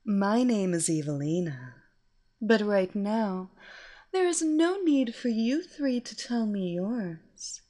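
A woman's voice: mid-range voice, lively intonation. Woman voicing a sultry American hypnotist. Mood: hypnotic. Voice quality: slow, hushed, breathy hypnotic delivery. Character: sultry adult female hypnotist, seductive and commanding.

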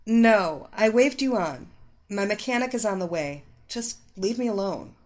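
A woman voicing an angry, haughty, sharp-tongued young woman in a story, barking out her words. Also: mid-range voice, lively intonation, moderate pace, natural voice. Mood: curt, dismissive. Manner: barking, spitting out commands.

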